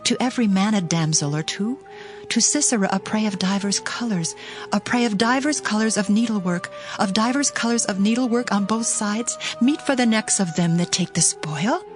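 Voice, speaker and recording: A woman's voice: mid-range voice, moderate pace, lightly acted. Woman acting a theatrical female scripture storyteller. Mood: eager, rising. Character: female storyteller voicing anxious mother and boastful ladies. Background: music.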